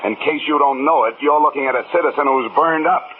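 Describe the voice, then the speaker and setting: A man's voice: fairly high pitch, quick pace, slight background noise, lightly acted. A man with an American accent playing a tough, wisecracking, world-weary insurance investigator in 1950s radio detective style, sardonic and hard-boiled. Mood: confrontational. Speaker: narrator and lead actor. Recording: tinny old radio recording, loud music.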